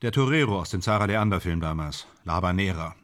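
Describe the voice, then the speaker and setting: A man's voice: low-pitched, lively intonation, fast. A man playing a boastful, ambitious actor in a German radio drama, speaking in theatrical, self-dramatizing fragments. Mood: subdued, wistful. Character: boastful, ambitious male stage actor dreaming of Hollywood stardom.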